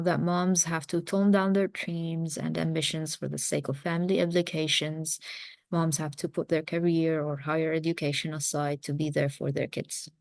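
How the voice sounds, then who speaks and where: Woman around forty, lowish gravelly voice, earnest coaching talk, reflective, sometimes halting. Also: a little mellow, no room sound. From mountains of Lebanon. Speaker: parenting coach, series host. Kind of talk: personal talk introducing a series.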